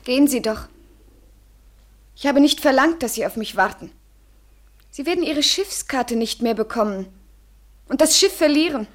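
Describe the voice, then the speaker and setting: An actress playing an anxious, vulnerable young woman in a 1970s German radio drama, speaking haltingly and often falling to a whisper. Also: sits high, animated. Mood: hurt, bitter.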